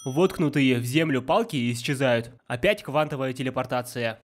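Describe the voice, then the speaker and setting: A man's voice: medium pitch, normal speed, soft background music. A man narrating scripted nitpicking commentary in a sarcastic, pedantic manner. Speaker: YouTube film critic, narrator.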